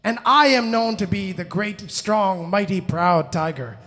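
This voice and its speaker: A man voicing a great, proud but cowardly tiger in an animated oral story. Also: high-pitched, unhurried. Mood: boastful, commanding. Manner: boastful, pompous, dramatic. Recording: very reverberant hall.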